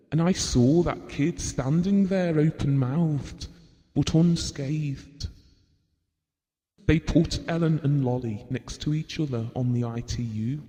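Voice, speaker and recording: Gentle devoted husband, soft mellow voice. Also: male voice, fairly high pitch. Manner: earnest, tender storytelling. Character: Bill, devoted, gentle husband and father recalling a family tragedy. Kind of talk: audio drama monologue. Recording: reverberant hall-like sound.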